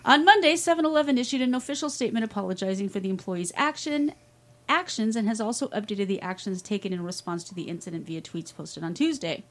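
A woman's voice: medium pitch, quick pace. A woman co-hosting a casual podcast, reading news stories aloud with wry asides and frequent laughter.

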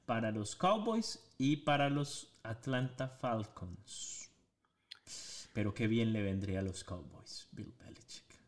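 A man's voice: on the low side, animated, slow. Colombian man chiming in on sports. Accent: Colombian Spanish. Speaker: podcast co-host. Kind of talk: casual sports talk.

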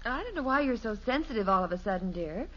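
An American actress playing a patient, gently teasing middle-aged wife and mother in a 1950s radio comedy. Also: fairly high pitch, very animated, fast. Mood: placating. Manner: soothing, amused, placating. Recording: dull, faintly noisy old radio recording.

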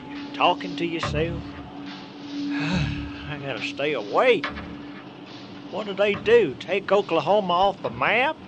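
An actor playing a weary rural truck driver, grumbling and muttering folksily to himself in an audio drama. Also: male voice, a little high.

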